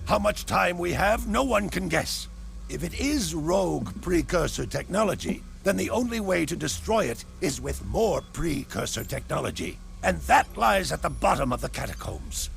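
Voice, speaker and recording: A man voicing a gruff older sage mentor in a video game, blunt and commanding. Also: fairly high pitch, very animated, moderate pace, a bit bright, a little hiss or hum, exaggerated voice. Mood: gruff, urgent. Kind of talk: animated video game acting.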